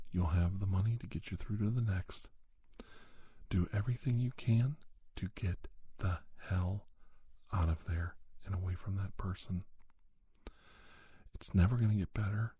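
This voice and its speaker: A man hosting an ASMR podcast, giving personal advice in a soft, breathy whisper with deliberate, repetitive phrasing. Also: sits low, no room sound. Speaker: podcast host.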